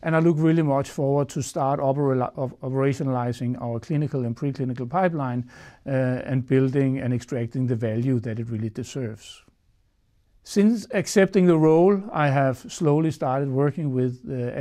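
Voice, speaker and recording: Executive in his forties with a mellow tone: earnest, filler-heavy studio address on a lapel mic. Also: mid-range voice, moderate pace. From Denmark. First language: Danish. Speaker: chief executive. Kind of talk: prepared corporate address. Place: studio against a dark backdrop.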